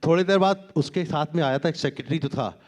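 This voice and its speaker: A male doctor on a conference stage spins a relaxed anecdote, his voice sitting a little high for a man. He talks in a chatty way, drifting between Hindi and English and breaking into laughter. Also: fast talker. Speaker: doctor on a panel.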